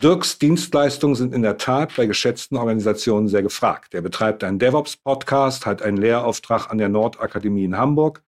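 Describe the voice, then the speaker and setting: Ein Mann, der als Gastgeber eine Online-Panel-Fragerunde moderiert und die Gäste förmlich und gemessen vorstellt. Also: male voice, mid-range voice. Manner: introduces guests in a measured, formal tone. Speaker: host.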